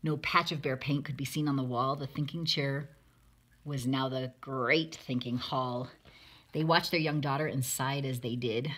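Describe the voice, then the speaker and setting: A woman storyteller reads a children's picture book in rhyme. Her voice sits low and has a husky edge, yet it is bright and forward. She gives the lines expressive, dramatic emphasis. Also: normal speed. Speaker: storybook reader.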